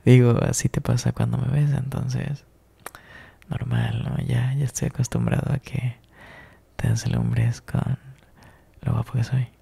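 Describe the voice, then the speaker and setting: Male ASMR roleplayer, very gravelly and breathy, soft mellow whisper, close-miked, flirty. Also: medium pitch, expressive, no room sound.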